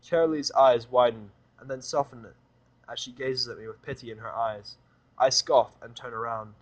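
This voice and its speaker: A man's voice: mid-range voice, steady tone, moderate pace, poor audio. A man reads a first-person story aloud. His voice sits in a middle register. The narration is informal and a little wry. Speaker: fanfic reader and narrator.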